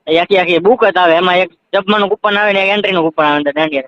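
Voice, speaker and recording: A man's voice: sits high, very fast, a little hiss or hum. A man with a Saurashtra Gujarati accent explaining things informally and repetitively in a casual phone chat. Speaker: seasonal event catering worker. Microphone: phone call. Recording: dull lo-fi phone call recording.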